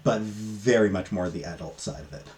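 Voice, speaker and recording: A man talking casually and unscripted as a book reviewer, in a halting, hesitant way with trailing asides. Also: fairly low pitch, a little mellow.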